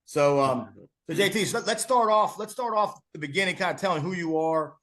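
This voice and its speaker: A man's voice: high-pitched, animated, fairly quick, fairly bright tone. A man co-hosting a football podcast, chatting casually and rambling with repeated words and restarts. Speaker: podcast co-host, former PE teacher. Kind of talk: casual interview.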